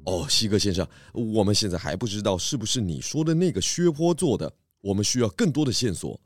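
A man playing a calm, methodical detective. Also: on the low side, dry recording. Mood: probing. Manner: measured, polite and reassuring. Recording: dry close voice over faint music.

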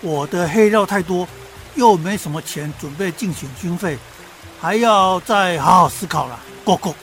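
An elder frog clan boss in a radio play, high for a male voice. He dithers and evades, ending with little croaks, as music plays softly. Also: normal speed. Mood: reluctant. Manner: hemming and hawing, croaking verbal tic. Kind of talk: radio drama acting.

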